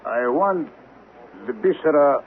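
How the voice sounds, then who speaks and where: An actor playing a scheming man who poses as a humble temple envoy, speaking formally and deliberately in old-time radio drama style. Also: a little high, lively intonation, narrow, tinny audio. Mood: solemn, courteous. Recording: thin, noisy vintage radio recording.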